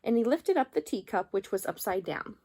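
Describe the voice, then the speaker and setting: A woman storyteller reading a children's book aloud in an expressive narrating style. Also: mid-range voice, normal speed.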